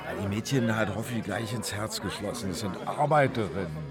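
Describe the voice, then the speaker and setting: A man's voice: on the low side, expressive, a little fast. An actor playing a jovial underground pub barman in a radio drama, chatty and jokey in colloquial speech. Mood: amused, confiding. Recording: faint music and crowd murmur behind.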